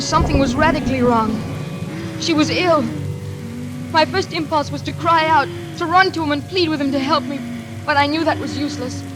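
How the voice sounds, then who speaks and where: An actress playing an anxious, trapped daughter in a 1940s suspense radio drama, tense and dramatic, halting when flustered. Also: female voice, high-pitched, natural voice. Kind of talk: 1940s radio acting, first-person suspense narration. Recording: vintage radio drama with music behind.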